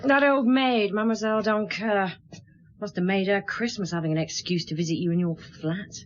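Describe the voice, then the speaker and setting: A woman's voice: mid-range voice, a little fast, dull audio, natural voice. An actress playing a prickly, defensive married woman in a radio drama, curt and indignant under police questioning. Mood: impatient.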